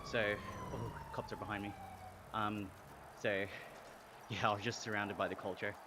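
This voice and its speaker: A man's voice: fairly low pitch, flat delivery, moderate pace, noisy background. A man in his thirties with an Australian accent touched by American twang, chatting casually on a live stream while cycling, a bit out of breath. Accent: Australian with some American twang. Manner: chatty, talking between pedal efforts. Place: home room with fan, indoor bike trainer.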